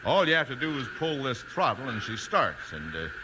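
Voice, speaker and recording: A man's voice: sing-song, moderate pace, lightly acted. A man playing a practical, hard-working timber boss with plain rustic talk, acted in 1950s radio western style. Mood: easygoing. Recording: old radio recording, loud rumbling noise.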